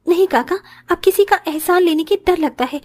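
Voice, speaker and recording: A woman's voice: very high voice, fairly quick, a little mellow. A woman playing a humble, hardworking young village wife with an earnest, self-sacrificing manner in a moral story cartoon. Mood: determined. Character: humble, hardworking poor village daughter-in-law, expecting a child.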